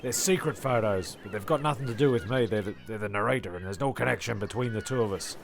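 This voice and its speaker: A man's voice: fairly low pitch, fast talker, gravelly, slight background noise. A man playing a shifty, self-pitying narrator in a comic radio play, rambling and hesitant. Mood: evasive, coaxing.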